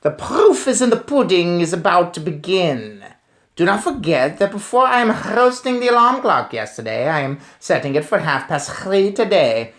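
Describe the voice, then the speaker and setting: A man's voice: high voice, lively intonation, normal speed, a bit bright, pitched above the performer's own voice. A man voicing an imperious, gloating storybook witch who commands in oddly inverted phrasing. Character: imperious, gloating Grand High Witch with foreign syntax.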